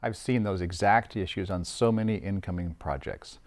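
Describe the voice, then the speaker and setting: A man's voice: low-pitched, moderate pace. A man hosting a scripted promotional interview, presenting as an audio and video designer.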